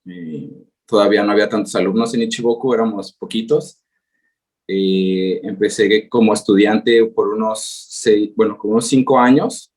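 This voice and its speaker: A man's voice: lowish voice, darkish tone. A Mexican man in his thirties with a Mexican accent, giving a webinar talk over a video call, hesitant with many fillers. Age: 30s. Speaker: guest presenter. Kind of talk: webinar presentation. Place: home, working remotely in Japan.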